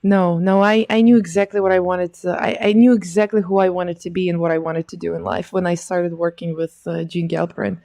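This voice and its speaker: A woman's voice: lowish voice, level tone, brisk, hardly any pauses, mellow, no echo. A woman, an attorney guest on a radio interview, speaking earnestly and fondly about her work. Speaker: real estate attorney, guest. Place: radio studio.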